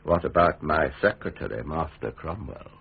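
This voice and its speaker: An actor playing a worldly, domineering old cardinal, goading and imperious, in a radio drama. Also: male voice, extremely low pitch, expressive, normal speed, vocal fry, noisy background, heavily acted. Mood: scornful, weary. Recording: tinny, band-limited radio drama recording.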